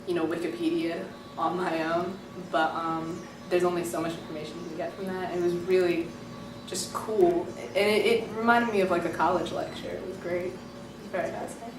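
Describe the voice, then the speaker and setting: Young female student, low-pitched, eager and chatty; roomy, distant mic with music under. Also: steady tone, a little fast, hardly any pauses. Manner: enthusiastic, chatty with many fillers. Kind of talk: interview, casual reflection. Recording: echoey room from far off, music behind.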